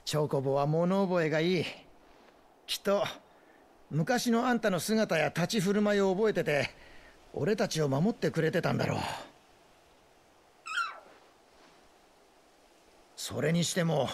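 A man playing a friendly, grateful traveling merchant in a video game, with folksy, rough speech. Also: high voice, a little hiss or hum. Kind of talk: dramatic video game voice acting.

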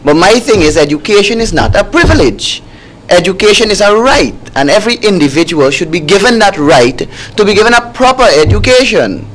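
A young Guyanese man speaks in a somewhat high-set voice. He argues his case as a student activist in a panel discussion, repeating key phrases to drive them home. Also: very animated, fairly quick, a bit bright, a little hiss or hum, low-quality recording. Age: young adult. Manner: emphatic, repeats phrases for stress.

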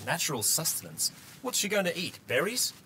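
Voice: medium pitch, big pitch swings, brisk, sharp tone, a little hiss or hum, rough recording. An actor playing a wry, exasperated, grumbling living scarecrow in a fantasy audio drama. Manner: sardonic, exasperated.

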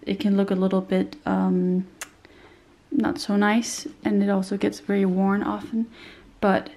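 A woman's voice: medium pitch, little pitch movement, unhurried, warm tone. A young woman reviewing a handbag in a chatty, descriptive way, a bit nasal as she recovers from a cold, recorded in a small room. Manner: chatty and descriptive, many fillers. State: slightly nasal after a cold. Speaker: bag reviewer. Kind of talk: casual product review.

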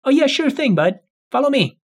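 A man's voice: very high-pitched, sing-song, normal speed, exaggerated voice. A man playing an easygoing, agreeable cousin in a casual video game roleplay. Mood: obliging. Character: easygoing, obliging man hosting his visiting cousin.

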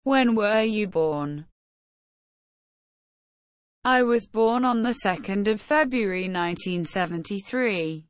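A woman reading short English phrases for learners, slow and careful, evenly spaced. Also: mid-range voice, lively intonation, no room sound.

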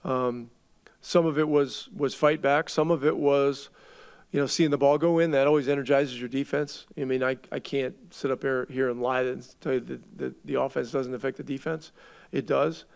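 A middle-aged man, a head coach, answering reporters at a postgame press conference in a measured way, often restarting his words as he thinks. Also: medium pitch, steady tone, creaky, clear, resonant tone. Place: arena press room. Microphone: table mic.

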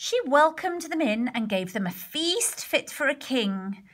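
A woman reading a picture book aloud to young children, expressive, with chatty exclamations and questions along the way. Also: high voice, a little slow. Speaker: primary teacher reading a storybook to children. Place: indoors, picture book held over a wooden table.

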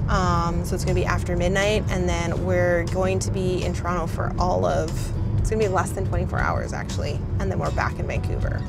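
Woman vlogging casually, upbeat, music behind. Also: medium pitch. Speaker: vlog host and interior designer. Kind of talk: casual vlog talk.

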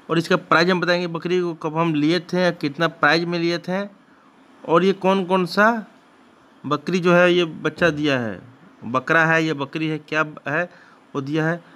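Bright-voiced man narrating farm video. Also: a little high, fairly even intonation, normal speed. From Bihar, India. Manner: rambling, repetitive, frequent restarts. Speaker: goat farmer and channel host. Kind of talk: casual narration over farm footage. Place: goat shed on a farm.

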